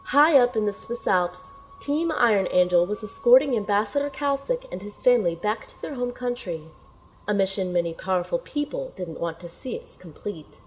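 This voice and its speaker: A woman's voice: mid-range voice, lively intonation, moderate pace, lightly acted, poor audio. A woman playing an earnest young female agent in an audio drama, dramatically telling her own adventure. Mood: tense narration. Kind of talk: dramatic first-person narration and audio drama acting. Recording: clear background noise.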